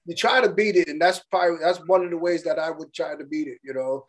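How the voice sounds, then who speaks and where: A man's voice: fairly high pitch, fast talker. A middle-aged man co-hosting a podcast over a video call, laid-back and chatty, often saying "you know what I'm saying" and "man.". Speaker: podcast co-host. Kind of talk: casual interview chat. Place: indoor room with virtual backdrop. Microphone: webcam on a video call.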